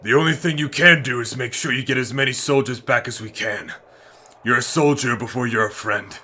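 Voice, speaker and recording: A man playing a gruff, stern military commander in a fantasy audio drama. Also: mid-range voice, brisk, bright, forceful tone. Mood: stern, resigned.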